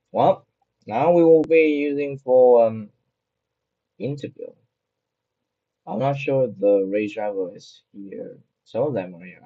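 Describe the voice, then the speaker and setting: A man hosts a racing livestream in a very dark, husky voice, talking loosely and quickly apologizing for slips. The audio is dull and lo-fi, like a voice chat. He keeps the tone casual and chatty. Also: fairly low pitch. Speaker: broadcast host.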